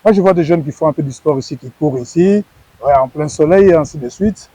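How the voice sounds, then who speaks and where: Middle-aged guy from congo talking wrestling on tv, earnest, stumbles and repeats himself, kinda high voice. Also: a little fast, warm tone, slightly rough, dry recording. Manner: earnest, repeats words while searching for phrasing. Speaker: former wrestler, guest. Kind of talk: TV sports interview answers.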